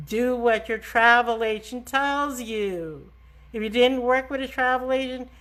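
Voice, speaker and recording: A woman's voice: a little high, a bit bright. Emphatic New York woman travel agent. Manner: emphatic, scolding, chatty. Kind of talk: live travel talk show.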